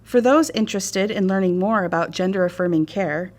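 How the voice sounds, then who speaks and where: An American woman narrates a staff training course. Her voice is a little low, and she reads in a measured, formal, instructive manner.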